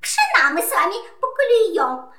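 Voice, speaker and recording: A fussy, gushing hen in a children's fairy-tale play. Her high, sharp voice swoops wildly in a roomy echo. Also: huge pitch swings, unhurried, heavily acted. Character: fussy, chatty female hen, gracious fairy-tale guest. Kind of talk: children's audio play acting.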